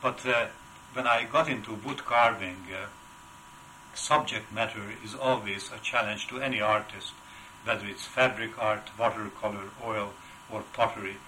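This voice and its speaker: Older Hungarian-accented man, bright halting voice. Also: fairly low pitch, fairly even intonation, a little hiss or hum, low-quality recording. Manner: halting, many filler sounds. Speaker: wood carver, interview guest. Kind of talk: casual interview.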